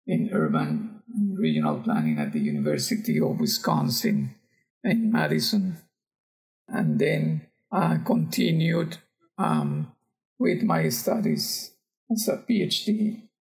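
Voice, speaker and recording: Older costa rican guy in an interview, really gravelly, pitch moves around a lot, hunts for words. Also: a little mellow, poor audio. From Costa Rica. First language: Spanish. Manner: halting, searching for words. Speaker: geography professor, podcast guest. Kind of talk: conversational interview answers.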